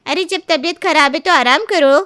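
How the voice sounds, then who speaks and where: Woman playing a concerned, high-voiced neighbour lady. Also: moderate pace, bright, forceful tone, dry recording, studio quality. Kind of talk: Hindi moral-story voice acting.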